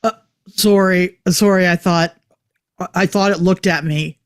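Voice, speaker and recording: A scared young soldier voiced by a table reader answers slowly and meekly, keeping to a narrow range of pitch. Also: steady tone, clean tone, natural voice. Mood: scared, apologetic. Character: young, skinny, properly scared soldier cleric named Bob. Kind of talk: script table read.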